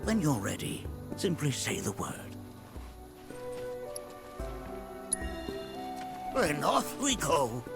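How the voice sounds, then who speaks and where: An elderly game elder, guides a trial in formal, old-fashioned phrasing. His pitch sits fairly high and swings widely from phrase to phrase. Loud music plays beneath him, and his delivery is very unhurried. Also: wildly swooping pitch, heavily acted. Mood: solemn, instructing. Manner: formal, measured, archaic phrasing. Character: elderly male village elder, cryptic trial guide, wise and wry. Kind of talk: video game voice acting.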